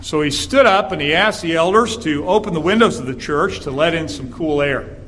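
Raspy-voiced man preaching a sermon. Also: on the high side, a bit bright. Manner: earnest, measured preaching with filler "uh"s. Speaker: guest preacher. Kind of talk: sermon with scripture reading. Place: church.

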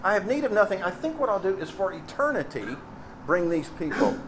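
A middle-aged man with a Texas accent preaching in a conversational, emphatic way, pausing on key phrases. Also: high voice, very animated, noisy background. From Texas, United States. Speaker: preacher and seminary teacher. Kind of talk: expository sermon with anecdotes.

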